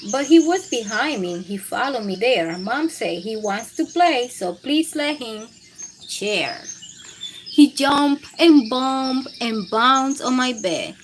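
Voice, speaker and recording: High, lively woman hosting children's storytime. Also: a little hiss or hum. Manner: expressive, simple child-directed delivery. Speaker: children's storytime host and reader. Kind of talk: live read-aloud storytime for young children.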